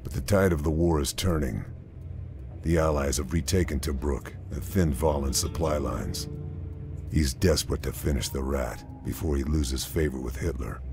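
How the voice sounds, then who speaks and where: Grim, extremely deep-voiced soldier. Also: male voice, vocal fry. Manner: terse, serious, measured. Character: grim, stoic male wartime sniper. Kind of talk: scripted game cutscene narration.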